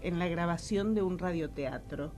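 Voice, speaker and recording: A woman with an Argentine Rioplatense accent chatting as an interview guest, expressive and effusive, often repeating words as she searches for the next. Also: fairly low pitch, moderate pace, soft background music. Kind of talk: casual radio interview chat.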